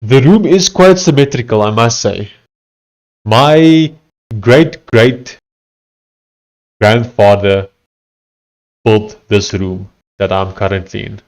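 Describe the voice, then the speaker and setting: A man with a heavy Afrikaans accent talks casually to his livestream audience. His voice sits a little low, and he reads chat and on-screen text aloud in a halting, conversational way. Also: darkish tone, rough recording. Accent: South African, Afrikaans. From South Africa. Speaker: livestreaming coder.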